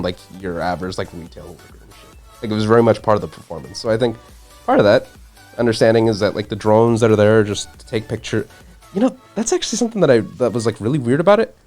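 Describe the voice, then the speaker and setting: A man's voice: on the low side, lively intonation, brisk, darkish tone. Man, casual co-host chat, lots of swearing and "like", faint music behind. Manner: swears often, heavy use of "like". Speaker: podcast co-host.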